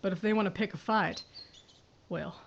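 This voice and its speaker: A woman's voice: mid-range voice, normal speed, creaky, lo-fi sound, a little hiss or hum, close to an everyday voice. A woman playing a blunt sheriff. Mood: steely. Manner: terse, dry, gritty. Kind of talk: audio drama acting.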